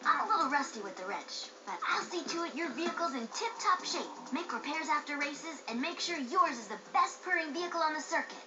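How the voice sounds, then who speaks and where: A woman's voice: high-pitched, lively intonation, brisk, few pauses. A woman playing a sassy, flirty female car mechanic in a video game, teasing and sure of herself. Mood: teasing, confident. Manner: teasing, confident. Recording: dull game audio, music behind.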